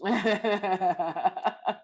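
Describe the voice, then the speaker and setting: A young adult woman hosting a casual commentary vlog, loud and blunt, cursing freely. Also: mid-range voice, very slow. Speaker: vlog host.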